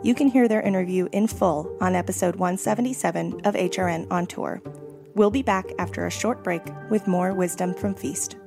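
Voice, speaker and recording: A woman hosting a food podcast, reading scripted narration in a steady delivery. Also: lowish voice, moderate pace, creaky, over music. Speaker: podcast host.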